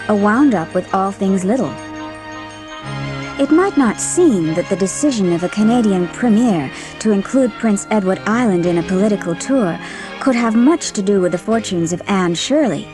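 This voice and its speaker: A woman narrator reading a scripted storybook in a measured, expressive way. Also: medium pitch.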